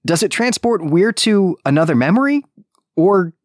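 A man's voice: high voice, expressive, normal speed, no echo, clean studio sound. A man co-hosting a literary discussion podcast, explaining in a precise, careful way with small qualifications. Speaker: podcast co-host.

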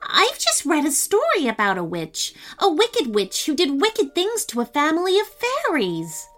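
A woman voicing an eager, polite, wide-eyed little girl in a children's storybook, earnest and excitable. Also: sits high, normal speed, faint music behind, pitched above the performer's own voice, heavily acted. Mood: breathless wonder.

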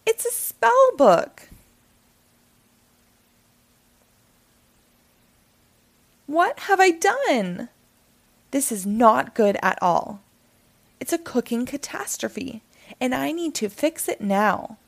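Woman voicing an excitable, boastful storybook cat, swooping pitch. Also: takes it slowly, gravelly, full character voice. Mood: panicked. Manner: excitable, dramatic. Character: eager, boastful, easily flustered male witch's cat. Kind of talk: animated children's storybook character reading.

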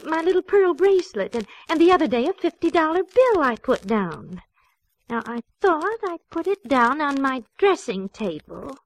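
Lonely well-off widow for vintage radio play; highish, lively pitch, theatrical, fluttery delivery. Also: female voice, moderate pace, rough recording. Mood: worried. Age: middle-aged. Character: fretful, lonely wealthy 45-year-old widow, trusting and fluttery. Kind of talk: old-time radio drama acting.

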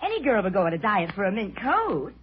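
A woman's voice: mid-range voice, huge pitch swings, fast talker, slight background noise. An actress playing a girlish, doting wife with a breezy, theatrical manner in a 1940s radio drama. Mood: gushing. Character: girlish, plaintive, doting society wife on a diet. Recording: dull old radio with music.

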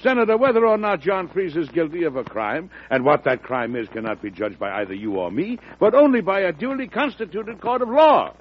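A man acting a weary, firm and principled President in an old-time radio drama, speaking in a measured, formal way. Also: high-pitched, animated. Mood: firm, indignant. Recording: dull, noisy vintage radio recording.

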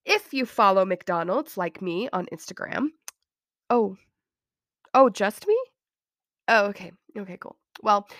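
A woman's voice: mid-range voice, no room sound, clean studio sound. A woman in her thirties from Utah hosting a casual solo podcast, chatty and self-deprecating with frequent giggles, her voice thickened by a cold. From Utah, USA. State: has a cold. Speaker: podcast host.

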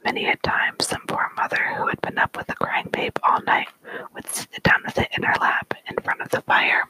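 A storyteller reading an old folk tale aloud entirely in a soft, unvoiced whisper. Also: normal speed, low-quality recording.

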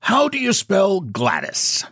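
A man's voice: high-pitched, wildly swooping pitch, fairly bright tone, husky, dry recording, studio quality, pitched above the performer's own voice, exaggerated voice. Man playing a sly, pompous, swooping storybook book agent. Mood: slyly courteous. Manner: mock-polite, mysterious, brisk, pompous. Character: short fat shabby book agent, sly and courteous. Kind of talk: storybook character voice.

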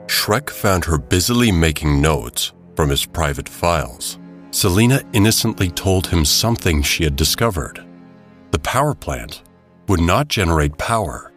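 A man narrating an audio drama in a scripted, dramatic storytelling style. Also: low voice. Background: music bed.

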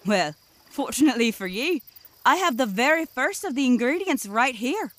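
A woman's voice: high voice, big pitch swings, moderate pace, a bit bright. A woman playing a stately, helpful elder of magical water folk, speaking formally and grandly in a fantasy comedy audio drama. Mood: solemn, gracious. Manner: formal, grandly archaic diction.

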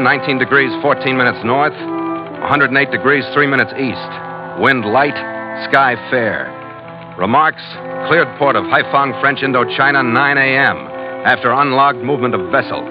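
A man's voice: medium pitch, normal speed, natural voice. An actor playing a hard-boiled ship's captain, narrating his log in clipped 1940s radio drama style. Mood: terse, matter-of-fact. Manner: clipped, terse log-entry delivery. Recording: dull old radio recording, loud music behind.

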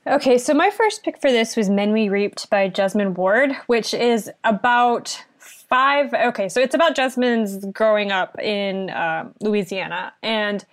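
A woman's voice: medium pitch, measured pace. A young woman from southeastern Virginia recommending a book in a casual chat, earnest and thoughtful, rambling a little.

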